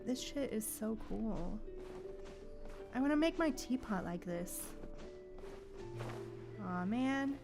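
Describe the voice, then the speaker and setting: A woman's voice: fairly high pitch, expressive, slow, vocal fry, long pauses. A woman hosting a gaming livestream, chatting casually and informally with her viewers, sometimes swearing. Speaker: livestream host playing a video game. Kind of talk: casual gaming stream chat. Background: game music.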